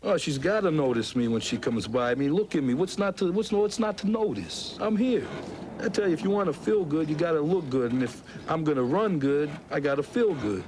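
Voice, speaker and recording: An actor playing a vain, boastful talking car in a comic radio commercial, self-admiring and excitable. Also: male voice, on the high side, brisk, music in the background, lightly acted. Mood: vain, hopeful. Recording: noisy off-air 1990s radio recording.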